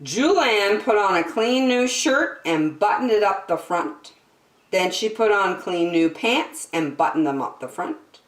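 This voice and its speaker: A woman reads a children's story aloud at an unhurried pace. Her pitch swings widely as she stresses words with care, and the room sounds echoey. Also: distant mic. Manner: expressive, with deliberate emphasis. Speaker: children's story-time reader. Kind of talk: animated picture-book read-aloud.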